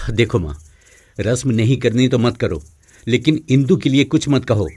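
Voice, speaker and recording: Man playing a husky, mellow young husband. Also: mid-range voice, animated, moderate pace. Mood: firm. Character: young husband and son, protective, firm.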